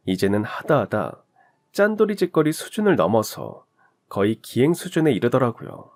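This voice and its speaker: A man's voice: mid-range voice, huge pitch swings, normal speed. A young man narrating a scripted story in a conversational way, speaking directly to the listener.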